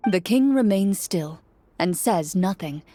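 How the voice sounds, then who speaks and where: A woman reading a game story aloud in steady, measured narration. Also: fairly low pitch, soft background music. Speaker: story reader.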